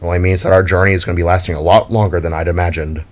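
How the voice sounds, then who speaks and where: A man voicing a measured, matter-of-fact male robot-like scout in a narrated story. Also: low-pitched, little pitch movement, lightly acted. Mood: resigned.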